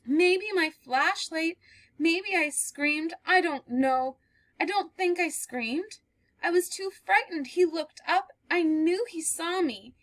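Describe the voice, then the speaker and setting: A woman reading a play puts on a terrified young woman who has fled through a storm, her voice pitched very high and pushed loud. She pleads in breathless, sobbing bursts. The read-aloud play gives her no break from fear. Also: normal speed, no echo.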